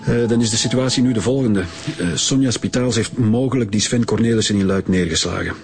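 An actor with a Belgian Dutch accent playing an authoritative police director in a radio drama, methodically summing up and giving orders. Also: male voice, lowish voice, a little fast, a little mellow, lightly acted.

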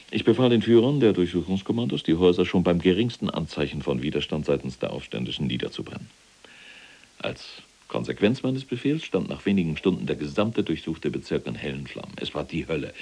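An actor playing an imprisoned former SS general in a radio play, cold and self-righteous, telling his story in a deliberate, dramatic way. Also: a little mellow, full character voice. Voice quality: very deep, gravelly, husky. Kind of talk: German radio play acting, monologue.

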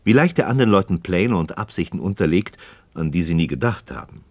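An actor playing an inquisitive, skeptical but courteous friend in a radio drama, speaking in a deliberate, questioning way. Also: male voice, deep voice, expressive, darkish tone, no room sound. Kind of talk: scripted philosophical dialogue in German radio drama.

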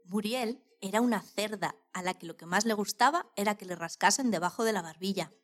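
Medium-pitched Spanish woman reading narration. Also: fairly quick, fairly bright tone. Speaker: radio programme co-host. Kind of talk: scripted narration.

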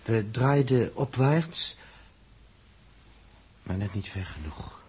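An actor playing a blunt, edgy spaceship crewman in a 1960s radio drama, with a husky voice and a hesitant, sardonic manner. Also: mid-range voice, expressive, takes it slowly, background noise. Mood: grave, reluctant.

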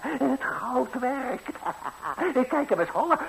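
Gruff devil, wildly swooping voice. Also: male voice, very high voice, sing-song, drawn-out words, exaggerated voice. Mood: greedy, gloating. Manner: theatrical, exclamatory, grumbling. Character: gruff, suspicious, menacing, greedy devil. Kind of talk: 1960s Dutch radio play acting. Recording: noisy vintage 1960s radio recording.

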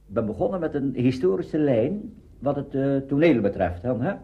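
Older man recalling, halting, on dull tape. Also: mid-range voice, lively intonation. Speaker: radio drama veteran being interviewed. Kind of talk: spoken reminiscence, listing works. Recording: dull lo-fi archive recording.

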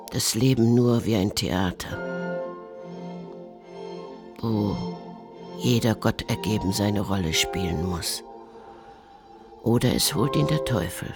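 A man playing a pious, stern rural believer in a radio play, solemn and admonishing, with a creaky voice. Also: mid-range voice. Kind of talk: literary radio play monologue.

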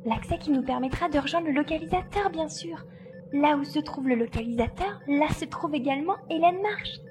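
Casting: actress as resolute female pilot in an audio drama, higher voice, commanding delivery, music underneath. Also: normal speed, close to an everyday voice. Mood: purposeful. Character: determined female glider pilot searching for her babies. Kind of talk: audio drama acting in French.

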